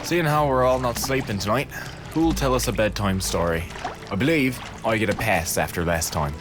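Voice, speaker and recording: An actor playing a dry, world-weary soldier with a Newfoundland accent, plainspoken and understated, in a game. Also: male voice, on the low side, normal speed, close to an everyday voice. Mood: dry teasing. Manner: wry, plainspoken. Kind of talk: understated game drama dialogue. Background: music.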